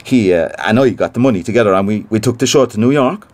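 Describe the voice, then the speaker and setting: A man's voice: lowish voice, fast, a little mellow, close to an everyday voice. An actor playing a gossipy, self-important small-time theatre man with an Irish Cork accent, chatty and boastful in a naturalistic audio drama.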